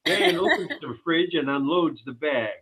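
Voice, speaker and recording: A man's voice: fairly high pitch, animated, moderate pace, a bit bright, slightly rough. A man reading stage directions aloud as scripted narration for a table read. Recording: thin, phone-like audio.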